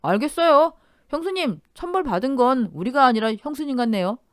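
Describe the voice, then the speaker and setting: A woman's voice: high-pitched, animated, fairly quick, no echo, studio quality, pitched above the performer's own voice. A woman voicing a spiteful, greedy younger brother-in-law who talks in a sneering, mocking way in an audio drama.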